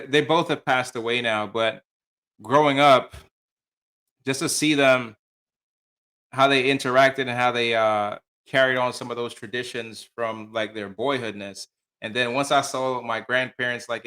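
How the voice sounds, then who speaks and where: Male podcast host, laid-back and friendly, with a bright, forward sound. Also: medium pitch. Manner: casual, friendly, often says "man". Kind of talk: conversational interview.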